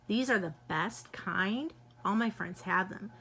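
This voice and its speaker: A woman's voice: medium pitch, a little slow, creaky, pushed and bright, breathy edge, heavily acted. Woman doing a pleading little girl. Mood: insistent. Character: young girl, pleading and cheeky.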